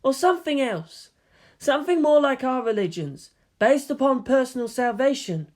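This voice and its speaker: An earnest young lieutenant with a geeky streak as a story reader plays him. He lectures emphatically in a raised, high-set voice, as if laying out holy writ for an audiobook scene. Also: expressive, moderate pace. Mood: pondering. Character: earnest, geeky young male lieutenant explaining invented scripture.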